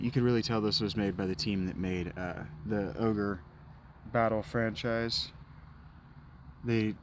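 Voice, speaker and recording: A man's voice: fairly low pitch, a little hiss or hum. A man doing casual let's play commentary, rambling and goofy, humming nonsense and whining that he wants to play.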